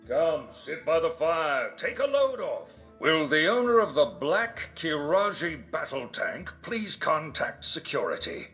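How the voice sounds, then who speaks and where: An actor playing a noble paladin who hams it up as a theme park announcer in a video game, courtly and grandiose. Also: male voice, on the high side, very animated, unhurried, few pauses, a bit bright, faint music behind. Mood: courtly announcing. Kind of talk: scripted game announcer voice lines.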